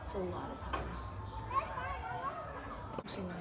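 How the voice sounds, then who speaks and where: A young American woman with a voice hoarse from being sick, chatting through a casual makeup tutorial in a low-energy, hesitant way. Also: normal speed. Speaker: makeup vlogger. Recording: very noisy room with background chatter. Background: people and children talking.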